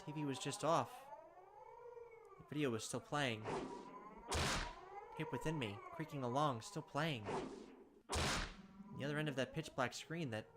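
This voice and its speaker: A man reading a visual novel's text aloud as a let's play narrator, dramatic, with quick asides of his own. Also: mid-range voice, heavy background noise.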